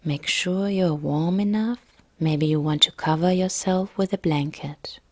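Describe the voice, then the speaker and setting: Woman, lowish pitch, very slow pace, calm gentle yoga instructor, guided relaxation meditation. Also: no room sound.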